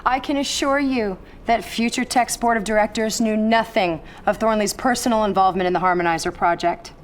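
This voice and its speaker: A woman's voice: mid-range voice, fairly even intonation, a little fast, natural voice. An actress playing a polished, evasive corporate spokeswoman delivering a formal, reassuring press statement in a video game. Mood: placating.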